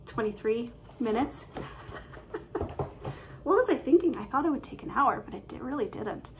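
A woman, chatting excitedly and quickly about her craft projects from her living room, filled with "um"s and little laughs. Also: on the high side, background noise. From Canada. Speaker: cross-stitch vlogger. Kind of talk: casual show-and-tell chat. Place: living room at home, indoors. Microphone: webcam at desk distance.

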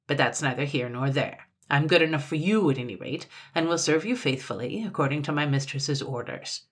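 A woman's voice: sits low, fairly quick, slightly rough. A woman voicing a tiny, hot-tempered male mannikin from a storybook, snapping out haughty commands. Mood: brusque. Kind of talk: storybook character voice.

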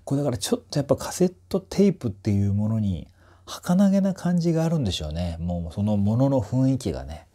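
A man's voice: medium pitch, sing-song, fast talker, dark tone. A man in his forties giving casual reaction commentary in a musing, conversational way, as a voice trainer reviewing music. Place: small indoor space with curtain backdrop.

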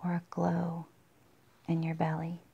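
A woman leading a guided meditation in a soft, breathy, husky near-whisper with long pauses, in a dull, muffled recording. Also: fairly low pitch, monotone.

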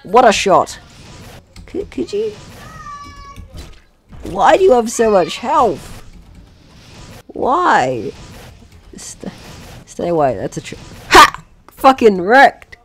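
Male gaming host, very lively intonation, casual and playful running commentary, mild swearing. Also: sits high, slow, pauses often, faint music behind. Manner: playful, swearing, talks to game characters. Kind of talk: casual let's-play commentary.

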